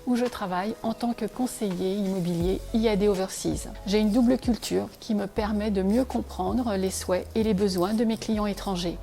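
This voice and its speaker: Woman realtor, portuguese is her first language, mellow voice reading a promo, bit of music behind. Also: mid-range voice, fairly even intonation, normal speed, creaky, few pauses. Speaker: real estate advisor. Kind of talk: scripted promotional presentation to camera. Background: faint music.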